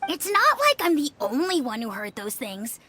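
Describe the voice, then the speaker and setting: An actress voicing an obedient young child in a video game, reporting others' misdeeds with precise, accusing calm. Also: female voice, sits high, normal speed, full character voice. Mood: cool, accusing.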